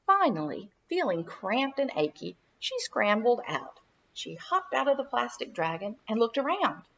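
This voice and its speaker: A woman narrating a children's storybook in an expressive, dramatic read-aloud style for young listeners. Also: on the high side, moderate pace, vocal fry, dull audio. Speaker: children's minister reading a storybook.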